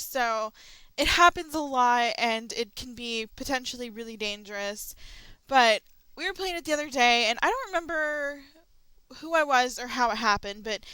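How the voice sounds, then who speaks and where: A woman's voice: a little high, pushed and bright, clear, resonant tone, no echo, low-quality recording. A young woman hosting a casual audio log, chatty and rambling with frequent ums and restarts. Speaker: channel host.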